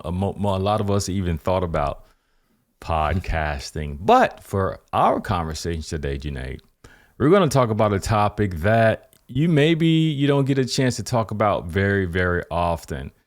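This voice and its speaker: A man hosting a podcast interview, rambling through his questions with many restarts and fillers. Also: on the low side. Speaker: podcast host. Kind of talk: casual interview.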